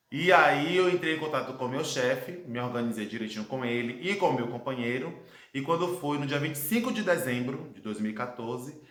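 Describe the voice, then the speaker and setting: A man in his thirties from Brazil with a Brazilian Portuguese accent, recounting his life abroad as a personal testimony, earnest and reflective, with dramatic pauses. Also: medium pitch, a bit bright. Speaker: vlogger telling his life story. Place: his home, indoor room. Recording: echoey room.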